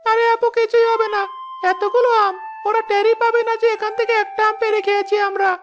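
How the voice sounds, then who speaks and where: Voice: very high voice, level tone, fairly quick, over music. A performer voicing a hungry, whining little boy who pleads insistently in a cartoon story. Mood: coaxing.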